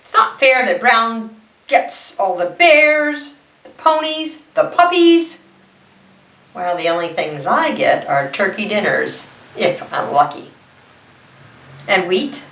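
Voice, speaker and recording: A woman voicing a proud, disgruntled beige crayon from a storybook, airing grievances in a deadpan, plainspoken way. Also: medium pitch, takes it slowly, pauses often, reverberant, distant mic, rough recording. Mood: proud, aggrieved.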